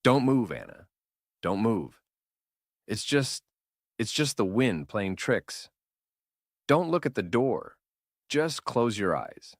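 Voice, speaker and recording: Guy playing scared protective young man, horror story, voice swinging up and down, slow and halting. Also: medium pitch, sing-song, dry recording, clean studio sound, heavily acted. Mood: anxious soothing. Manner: anxious, halting, urgent. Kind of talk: horror audio story dialogue.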